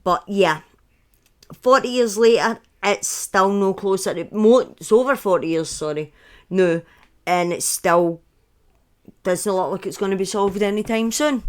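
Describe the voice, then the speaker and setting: A woman's voice: medium pitch, a little slow. Scottish lady in her 30s doing casual true crime story time on stream, chatty, keeps correcting herself. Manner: chatty, self-correcting asides.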